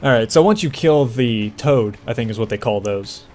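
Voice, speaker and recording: Man, casual gameplay commentary, explanatory asides, medium pitch, faint background game ambience. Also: animated, normal speed, a little mellow, a little hiss or hum. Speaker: gameplay walkthrough host. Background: video game ambience.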